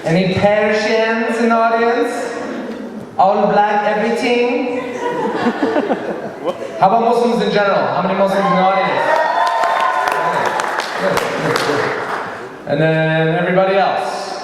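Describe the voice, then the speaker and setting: A young man doing a stand-up comedy set with a dry, deadpan delivery and crowd work. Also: high-pitched, a little slow, long pauses. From Iranian-American. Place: large hall on stage. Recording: echoey hall from far off, faint noise.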